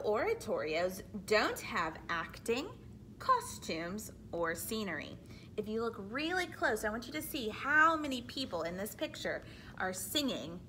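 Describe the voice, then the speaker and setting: A woman reads a children's book aloud in a deliberate, teacherly way. Her voice sits fairly high and swings expressively, and she takes her time with each phrase. Faint noise runs behind her. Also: bright, forceful tone. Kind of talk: read-aloud storytelling with explanatory asides to children.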